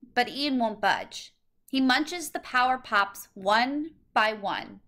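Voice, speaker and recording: Bright-voiced woman reading a storybook. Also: on the high side, sing-song, slow pace. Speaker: storybook reader. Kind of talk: scripted children's story narration, read aloud.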